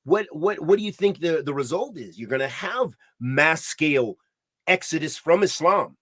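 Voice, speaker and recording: A man with a fairly high voice speaks on a podcast in an emphatic, preaching manner. He restarts his sentences often and scatters Arabic religious terms through his talk. The sound is dry and close. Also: expressive, normal speed, dry recording. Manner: grows louder and higher when heated. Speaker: podcast co-host. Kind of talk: impassioned podcast discussion.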